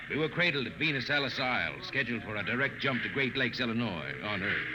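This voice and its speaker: A man's voice: mid-range voice, lo-fi sound, heavy background noise, close to an everyday voice. A man narrating a 1950s radio drama as a spaceship's chief jetman, earnest in tone. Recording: old radio broadcast with loud music bed.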